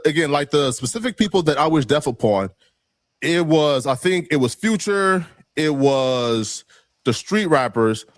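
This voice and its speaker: Male interview guest, mid-pitched, dry sound, rambling, filler-heavy, defensive. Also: no room sound.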